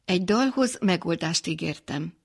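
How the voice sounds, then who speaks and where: A woman's voice: fairly low pitch, lively intonation, vocal fry. A woman presenting a radio programme from a script as its host.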